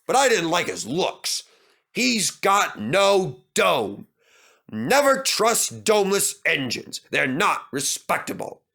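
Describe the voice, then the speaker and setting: A man's voice: sits high, big pitch swings, takes it slowly, gravelly, sharp tone, pitched above the performer's own voice, heavily acted. Man voicing a pompous, grumbling storybook steam engine. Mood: boastful. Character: pompous, boastful male steam engine, easily offended.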